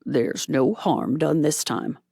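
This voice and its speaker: Drunken male robber leader, harsh and bossy, deep rough growl; a woman doing the voice. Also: slow pace, mellow, no room sound, studio quality, exaggerated voice.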